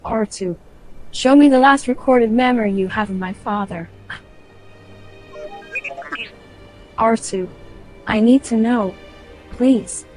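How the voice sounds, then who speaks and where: A woman's voice: on the high side, unhurried, a little mellow, soft background music, close to an everyday voice. A woman playing a distraught young heroine insistently demanding the truth in a sci-fi audio drama. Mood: pleading. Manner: insistent, emotional.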